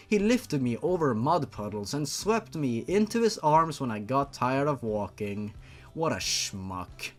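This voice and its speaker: A man reading fan fiction aloud in a theatrical, mocking way, as host and commentator. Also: medium pitch. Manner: theatrical, sweary, incredulous asides. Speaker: host, reader.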